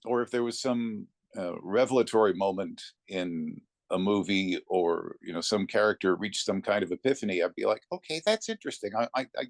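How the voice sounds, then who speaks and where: A man talking conversationally as an interview guest, telling anecdotes in a reflective way with thoughtful pauses. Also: fairly low pitch, vocal fry, dry recording, studio quality. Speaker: interview guest, narrator of classic readings.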